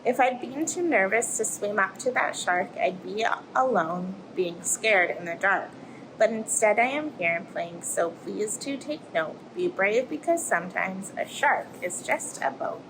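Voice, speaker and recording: A woman voicing a friendly, plucky little octopus in an expressive rhyming picture-book read-aloud. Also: mid-range voice, a little slow. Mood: relieved, cheerful. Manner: expressive storytelling. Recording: clear background noise, aquarium room ambience.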